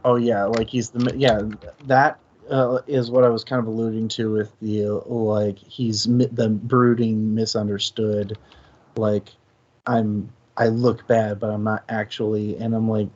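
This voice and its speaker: A man co-hosting a casual discussion, thinking aloud haltingly and correcting himself as he goes. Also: lowish voice, level tone, a little mellow, muffled recording. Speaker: co-host of a book discussion stream.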